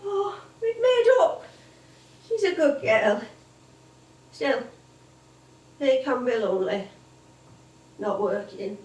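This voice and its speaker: An actress playing an older English music-hall comedienne on stage, wistful and chatty, reminiscing in a rambling way. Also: female voice, high voice, animated, slow pace, pauses often, a little mellow. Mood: tender, reflective. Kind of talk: theatrical stage monologue and dialogue. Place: theatre stage. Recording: echoey stage, distant microphone.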